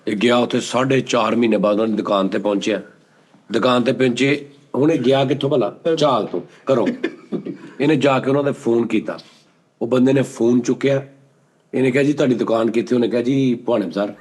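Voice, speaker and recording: Guy from rattling off a funny story, fast, animated, pops into high voices for quotes. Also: breathy edge. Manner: animated, jumps into high-pitched acted quotes. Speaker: storyteller guest. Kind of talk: casual chat, telling funny stories.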